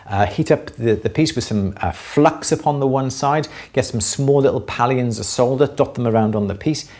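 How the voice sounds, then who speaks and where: A man's voice: mid-range voice, a little mellow. A middle-aged man with a Welsh accent, explaining hands-on craft work casually to a live audience and repeating words for emphasis.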